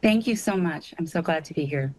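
Middle-aged American woman, first language Korean, low voice, articulate and deliberate interview guest. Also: expressive, darkish tone, dry recording. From suburban New York, United States. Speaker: guest, artist. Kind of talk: interview answers.

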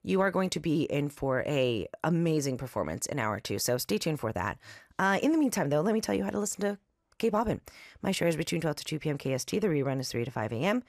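A woman hosting a radio show, chatting and reading announcements in a raspy, slightly nasal voice after an allergy attack. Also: low-pitched, quick pace, no echo, clean studio sound. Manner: chatty, loose and lively, with fillers. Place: radio studio. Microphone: studio microphone.

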